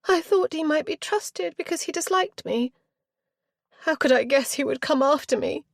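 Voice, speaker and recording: A woman voicing a petulant, self-centred young Regency heiress who talks in a high, sulky, easily wounded way. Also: moderate pace, creaky, no room sound, clean studio sound. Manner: sulky, high-strung, rising to tearful.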